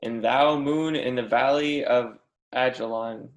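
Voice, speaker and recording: A man's voice: medium pitch, steady tone, unhurried, echoey room, natural voice, poor audio. A man reading the part of an eager, persistent young mathematics professor, earnest and declamatory, in an amateur readers theatre. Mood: insistent.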